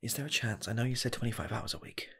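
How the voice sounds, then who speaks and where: A man playing an earnest rocket scientist applying for a fast food job, in a soft, half-whispered ASMR roleplay voice that rambles and restarts. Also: mid-range voice, lively intonation, creaky, breathy edge, heavily acted. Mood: torn. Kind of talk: ASMR personal attention roleplay.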